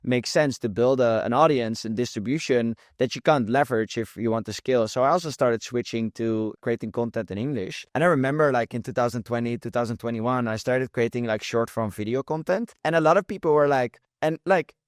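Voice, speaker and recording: A man's voice: mid-range voice, brisk, few pauses, dry recording, clean studio sound. Dutch-accented man hosting, full of "like". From Netherlands. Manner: filler-heavy, lots of "like" and "um". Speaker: podcast host. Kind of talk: casual interview talk.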